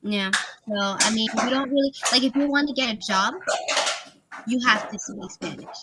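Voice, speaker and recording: A woman's voice: fairly high pitch, normal speed, very noisy. A woman tutoring over a video call in a casual, chatty way, laughing often and filling with "like" and "you know". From Miami, USA. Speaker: English tutor.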